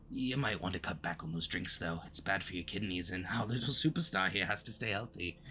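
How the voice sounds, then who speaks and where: A man's voice: fairly low pitch, bright, forceful tone, a little hiss or hum. A man playing a smug but easily flustered, obsessive supervillain in a flirty, theatrical roleplay addressed to the listener, with awkward stammering slips.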